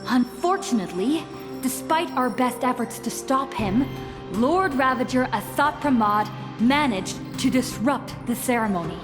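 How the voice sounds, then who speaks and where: An earnest young envoy. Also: female voice, fairly high pitch, animated, measured pace. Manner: earnest, formal. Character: earnest young female envoy pleading before a council. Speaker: game character. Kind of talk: video game voice acting. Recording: long reverb over music.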